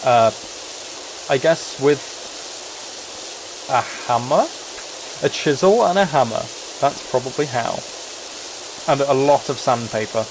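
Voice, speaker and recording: A man's voice: medium pitch, slow pace, long pauses, noisy background. A man live streaming a video game, chatting casually and thinking aloud in a rambling way. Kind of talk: casual chat while playing a video game.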